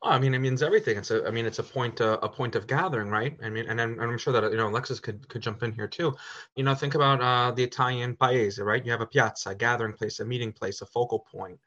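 Guy talking casually, bright punchy voice, lots of you knows, kinda muffled sound. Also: mid-range voice, quick pace. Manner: rambling, lots of "you know" and restarts. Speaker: Italian teacher and parish community member. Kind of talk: casual podcast conversation.

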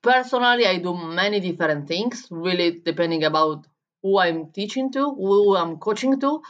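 A woman with an Italian accent, speaking English as a second language, tells how she works as a head barista and coach. Her voice sits on the lower side, and she often stops and rephrases mid-sentence. The recording sounds dull and lo-fi. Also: lively intonation, moderate pace. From Italy. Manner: searching for words in English, frequent restarts. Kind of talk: conversational interview answers.

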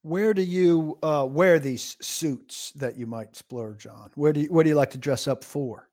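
Guy interviewer, kinda high voice, teasing questions. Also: a little slow, a little mellow. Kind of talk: podcast interview.

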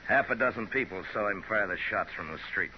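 An actor playing a stern, unflinching frontier marshal, terse and commanding, in 1950s radio western style. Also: male voice, fairly low pitch, fairly quick, close to an everyday voice. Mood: stern, controlled. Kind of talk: 1950s radio drama acting. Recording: noisy, dull 1950s radio recording.

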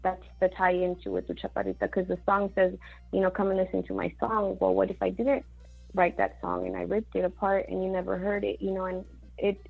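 Woman guest, Mexican-born singer-songwriter; rambling, filler-heavy talk with Spanish words; gravelly voice, dull recording. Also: medium pitch, fairly quick, soft background music. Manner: chatty, many fillers, mixes in Spanish words. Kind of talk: casual interview chat.

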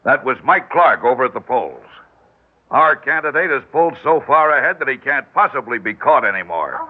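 A man's voice: mid-range voice, lively intonation, moderate pace, natural voice. A man playing a blunt, folksy, commanding older campaign boss in an old-time radio drama. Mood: announcing, pleased. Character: older male small-town campaign boss, blunt and avuncular. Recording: tinny, noisy old radio broadcast recording.

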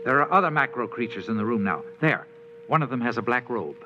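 A dutiful alien junior officer reporting to his superior. His voice is lively and anxious, rising and falling with unease, on a muffled vintage radio recording. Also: medium pitch, fast talker, a little hiss or hum. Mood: uneasy, alert. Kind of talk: mid-century radio drama acting.

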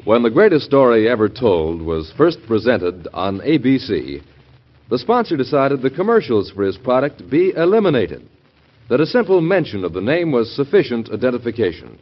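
A man's voice: mid-range voice, very animated, moderate pace. An American man announcing a scripted classic radio promotion in a formal, polished manner. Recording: dull vintage radio recording.

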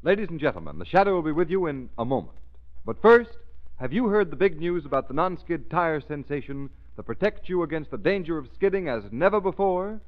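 A man's voice: fairly high pitch, big pitch swings, brisk. A man announcing and narrating in a formal, dramatic, scripted 1930s radio style. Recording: dull old radio recording, faint music.

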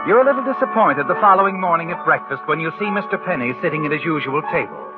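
A man's voice: on the high side, expressive, fast, lightly acted. Taunting male radio narrator, music behind, acted. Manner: insinuating, dramatic. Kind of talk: 1940s radio drama narration in second person. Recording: tinny old radio with loud music.

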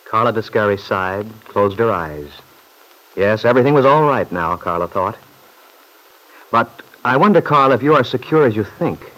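An actor playing a knowing, ominous narrator in an old-time radio drama, speaking in measured, foreboding scripted narration. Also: male voice, on the low side, lively intonation, fairly bright tone, poor audio. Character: ominous, knowing narrator addressing the heroine directly.

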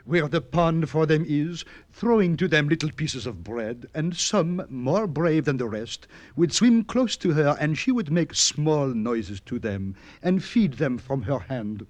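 An actor playing an ardent, preening young French suitor with a French accent, theatrical and flowery in a radio drama. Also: male voice, a little high, animated, a little slow, few pauses, no room sound. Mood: smitten. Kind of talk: 1950s radio drama acting.

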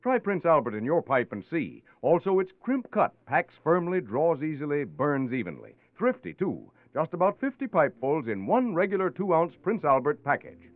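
An American man pitching a product in 1940s radio commercial style. He is highish and very animated, recorded dry with a narrow, tinny sound. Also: moderate pace, hardly any pauses, no echo. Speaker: advertising announcer.

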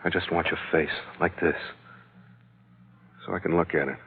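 Hard-boiled sea captain, deep voice, 1940s radio adventure, wry, terse, dull vintage recording. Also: male voice, fairly even intonation, moderate pace, slight background noise. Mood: tender, easy. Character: tough, romantic male sea captain narrating his adventure. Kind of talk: 1940s radio adventure acting and first-person narration. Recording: muffled old radio recording with music.

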